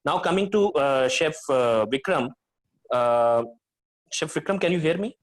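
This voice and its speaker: A man's voice: on the high side, animated, a little slow. A man, moderating a panel over a video call and asking long, hesitant questions full of fillers. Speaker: webinar moderator.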